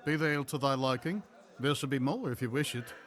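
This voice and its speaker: A man playing a weathered, shrewd, boastful sailor in an audio play, speaking in a rough, weighty, archaic and flirtatious way. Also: mid-range voice, a bit bright, lightly acted. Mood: sly, probing. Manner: deliberate, weighty, archaic speech.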